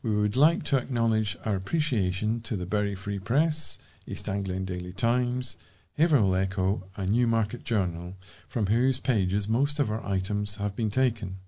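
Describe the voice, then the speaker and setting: A man's voice: on the low side, normal speed, few pauses, dark tone. A man with a British accent giving scripted announcements as a volunteer news presenter.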